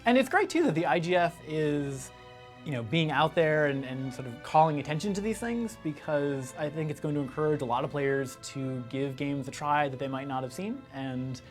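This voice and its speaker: A man in a casual interview, speaking in halting bursts with frequent asides. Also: on the high side, soft background music. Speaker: video game interviewee.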